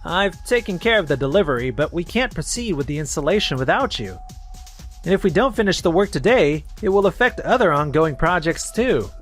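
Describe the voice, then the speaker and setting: A man plays a skilled contractor in a manga dub, earnest and fed up. He argues firmly and reasonably in a lively voice, over faint music. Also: a little high, fairly quick. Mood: firm, frustrated. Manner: earnest, firm, reproachful. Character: conscientious, frustrated skilled male installation contractor, firm and reasonable. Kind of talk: scripted manga dub voice acting.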